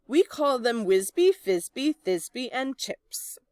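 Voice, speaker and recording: A woman's voice: a little high, very animated, slow, darkish tone, dry recording, clean studio sound. A woman voicing an earnest young child fondly defending a pet in a storybook.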